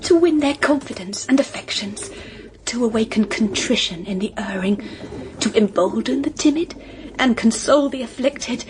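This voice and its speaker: A woman's voice: fairly high pitch, noisy background. An actress playing an earnest, naive Victorian girl in an audio drama, with a pleading, emphatic way of talking. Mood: hopeful, dreamy. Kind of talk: period audio drama acting.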